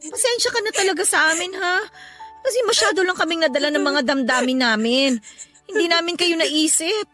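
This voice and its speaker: A woman's voice: very high voice, expressive, a little fast, sharp tone, full character voice. A woman playing a middle-aged mother of many children in a Filipino radio drama, blunt but remorseful, talking in a coaxing, colloquial way. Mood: apologetic, soothing. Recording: faint music under the voice.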